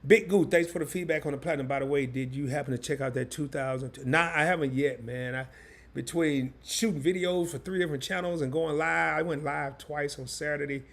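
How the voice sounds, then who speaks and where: A hoarse man hosting a live stream, chatting loosely and in slang straight to his viewers. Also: on the high side, fairly quick, fairly bright tone. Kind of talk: casual live stream chat.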